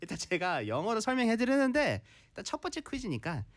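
A woman hosting a casual live-stream language lesson, explaining points and reacting quickly to her co-host. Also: medium pitch, huge pitch swings, no echo.

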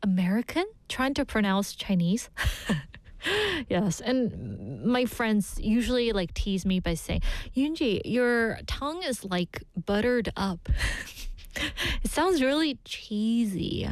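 A woman's voice: fairly high pitch, darkish tone, dry recording. Playful, laughing woman radio host. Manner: chatty and playful, laughs often, asks listeners questions. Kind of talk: casual bilingual radio chat reading listener messages. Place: radio studio.

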